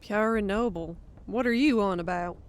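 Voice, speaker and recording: A woman's voice: mid-range voice, normal speed, natural voice. A woman voicing a blunt, teasing barmaid who gossips in a fantasy audiobook. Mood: gossipy, skeptical. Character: sassy gossiping female tavern barmaid. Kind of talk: audiobook character acting.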